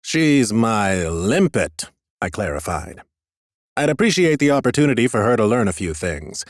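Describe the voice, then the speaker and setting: Male audiobook narrator, lowish pitch, dry studio sound, measured storytelling, scripted fantasy narration. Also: sing-song, no echo. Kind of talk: scripted first-person fantasy narration with acted dialogue.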